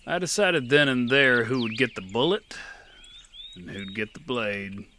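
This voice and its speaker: A man's voice: mid-range voice, measured pace, pushed and bright, slight background noise. A man narrating and playing a rugged, weary gunslinger in an audio drama, with a dry, terse delivery. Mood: steady. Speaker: narrator and lead.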